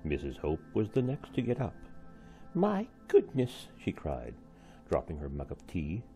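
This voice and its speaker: A man's voice: a bass voice, big pitch swings, moderate pace, mellow, soft background music. A man reading a storybook aloud expressively, like a teacher with a class.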